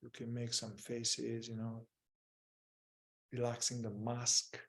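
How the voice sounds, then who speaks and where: A man leading a guided mindfulness meditation as a teacher, gently and without hurry, leaving long pauses between short phrases. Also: mid-range voice, completely flat delivery.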